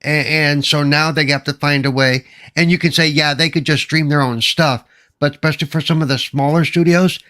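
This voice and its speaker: A man's voice: on the high side, steady tone, a little fast, pushed and bright. A man in a casual panel debate about games, speaking in an insistent, argumentative way. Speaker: podcast panelist.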